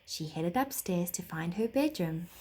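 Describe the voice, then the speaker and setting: A woman narrating a children's story expressively, like a teacher reading aloud, with dramatic, deliberate phrasing. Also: on the low side, animated, a little mellow, breathy edge.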